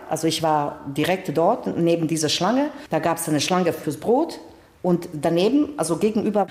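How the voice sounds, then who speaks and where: A woman's voice: fairly low pitch, a little mellow. A middle-aged woman from the former Yugoslavia, speaking German as a second language as an interview guest, telling stories and often restarting sentences in search of words. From Yugoslavia, grew up in Priboj. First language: Serbo-Croatian. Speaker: interview guest, actress and novelist. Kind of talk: conversational interview. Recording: roomy echo.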